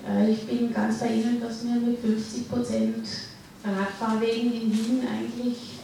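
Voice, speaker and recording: A woman's voice: fairly high pitch, little pitch movement, unhurried, vocal fry, dark tone, slight background noise. A woman with a Dutch accent speaking up hesitantly from the audience at a public panel discussion. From Netherlands. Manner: hesitant, searching for words. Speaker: audience member. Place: large hall at a public event. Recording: echoey hall, distant mic.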